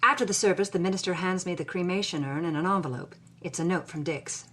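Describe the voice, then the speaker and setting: A wry, anguished Hollywood woman of the 1940s, voiced by an actress, tells her story in the first person. Her voice sits a little low, and she delivers the journal with theatrical, expressive storytelling. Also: fast.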